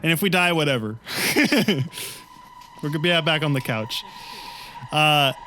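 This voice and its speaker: A man's voice: on the high side, expressive, slow pace, slight background noise, poor audio. A man playing a tabletop role-playing game, talking informally and thinking aloud about his next move. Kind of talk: casual game-table chat. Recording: loud music bed behind the voice.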